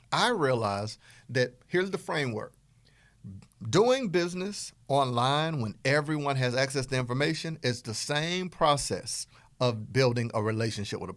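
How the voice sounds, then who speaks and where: Animated Southern American man coaching. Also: medium pitch, no room sound. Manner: energetic, animated, folksy with jokes and laughs. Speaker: business coach. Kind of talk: direct-to-camera teaching talk.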